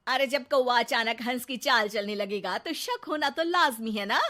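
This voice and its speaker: A woman's voice: a little high, moderate pace, sharp tone. A woman voicing a neglected, talkative cartoon housewife and mother, full of dramatic exclamations and biting questions. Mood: sarcastic. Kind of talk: Hindi cartoon moral story acting.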